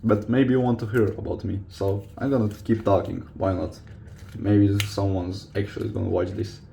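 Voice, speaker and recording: Low, creaky young man with Croatian accent. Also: flat delivery, normal speed, dark tone, a little hiss or hum. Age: young adult. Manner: hesitant, searching for English words. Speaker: vlogger, football player. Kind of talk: casual confessional chat to camera.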